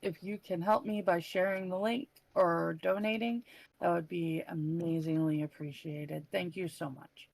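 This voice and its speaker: A woman's voice: lowish voice, fairly even intonation, a little slow, vocal fry, a little mellow, dry recording, poor audio. A woman speaking casually and earnestly as a panel member.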